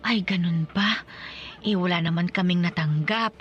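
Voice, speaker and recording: A woman's voice: on the low side, moderate pace, highs cut off, lightly acted. A woman playing an older, loving rural Filipino mother in a radio drama, fussing and chatty in a Filipino accent. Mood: concerned, tender.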